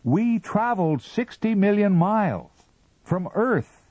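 A man reading aloud and voicing a frantic male rocket captain who shouts and pleads to be heard. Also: fairly high pitch, huge pitch swings, measured pace, husky, no echo, muffled recording, pitched above the performer's own voice, full character voice. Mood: insistent. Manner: loud, pleading, straining to be heard.

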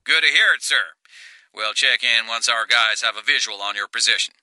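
Stern Marine colonel, strong Texan twang, very bright hard edge, formal, humorless, dry studio sound, audiobook. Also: male voice, medium pitch, normal speed, dry recording, voice pushed up, poor audio. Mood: dry, steady.

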